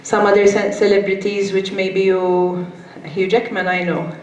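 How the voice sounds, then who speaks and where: A woman's voice: medium pitch, little pitch movement, a little slow, a little mellow. Hesitant woman in an echoey hall. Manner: hesitant, many fillers. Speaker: conference speaker. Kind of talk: conference presentation. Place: conference hall. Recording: echoey hall with faint noise.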